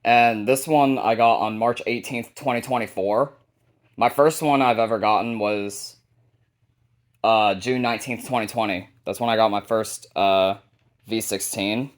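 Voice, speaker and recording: A man's voice: on the low side, fairly even intonation, a little slow. A man hosting a hobby video, narrating a casual show-and-tell of a collection.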